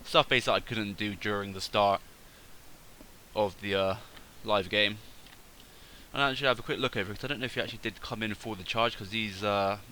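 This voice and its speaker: A man with a somewhat low voice and a stuffy, cold-congested tone talks through a strategy game replay at his desk. He rambles, backtracks and corrects himself as he thinks aloud. A steady background noise runs under his voice. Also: a little fast. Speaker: gaming channel host. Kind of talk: casual game replay commentary.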